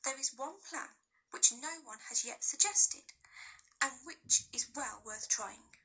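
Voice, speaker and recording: A woman voicing an old, dry, patient male professor in a storybook reading, measured and soft. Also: high voice, husky, heavily acted, poor audio.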